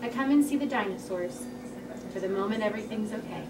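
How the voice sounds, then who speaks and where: A woman's voice: mid-range voice, fast talker, lightly acted. A woman playing an earnest, wry young female comic artist at a table read, candid and conversational. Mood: wistful. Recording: echoey, noisy room, distant mic.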